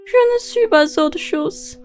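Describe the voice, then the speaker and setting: Woman acting bossy, high-voiced rich old lady. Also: lively intonation, takes it slowly, faint music behind, full character voice. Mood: bored, exasperated. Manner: theatrical, exclamatory, imperious. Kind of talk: stage-comedy radio play acting in French.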